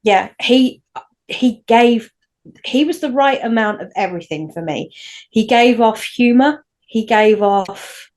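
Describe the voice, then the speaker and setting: A woman's voice: fairly high pitch, a little slow, a little mellow. Rambling Northern English woman co-host. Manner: rambling, restarts often. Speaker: podcast co-host. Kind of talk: casual chat.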